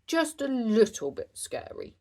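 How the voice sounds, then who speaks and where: A woman voicing a gently teasing, reassuring father pig in a children's storybook, in a playful manner. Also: fairly high pitch, huge pitch swings, unhurried, pitched above the performer's own voice, full character voice.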